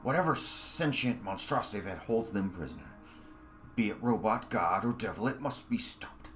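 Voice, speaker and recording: Man acting forceful, self-righteous leader, animated delivery. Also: on the low side, normal speed, soft background music. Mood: fervent urging. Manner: emphatic, oratorical.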